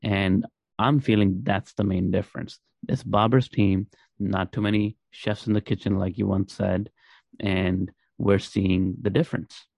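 A man's voice: low-pitched, fairly even intonation, a little slow, vocal fry, a little mellow, no room sound. A man co-hosting a sports podcast, talking casually and explaining his points with rhetorical questions. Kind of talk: casual cricket discussion. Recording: dull lo-fi dry audio.

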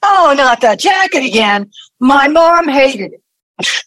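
A woman plays a hostile elder daughter in a radio play. She is blunt and scornfully sarcastic, with a high voice that swings widely, recorded close and dry. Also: sing-song, slow pace, fairly bright tone, dry recording, heavily acted. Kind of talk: audio play acting.

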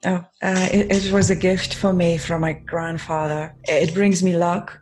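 A woman playing a tabletop roleplaying game, improvising in character with hesitant, halting phrasing. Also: on the low side, fairly even intonation, darkish tone, soft background music.

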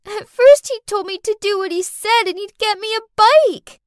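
A woman's voice: very high-pitched, normal speed, dry recording, studio quality, pitched above the performer's own voice. A woman voicing a scheming little girl in a story, with pushy, childish, whiny delivery. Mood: pleading confession.

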